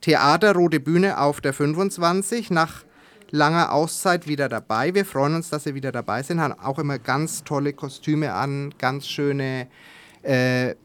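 A man's voice: a little high, measured pace, a bit bright, clean tone. A man co-hosting a live broadcast, announcing in a casual, chatty way. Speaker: co-host announcing a parade draw.

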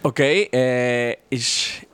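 A man's voice: medium pitch, drawn-out words, a bit bright. A man from Venezuela with a Venezuelan accent, a native Spanish speaker introducing himself in hesitant, non-native phrasing. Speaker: event team member being interviewed. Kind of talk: halting spoken self-introduction.

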